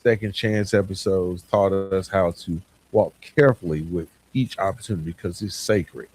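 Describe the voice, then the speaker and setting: A man's voice: low-pitched, moderate pace, darkish tone, slight background noise, rough recording. A man hosting a podcast solo, speaking earnestly and reflectively in a motivational way, often stressing short parallel phrases. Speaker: podcast host. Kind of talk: reflective solo podcast monologue, partly scripted.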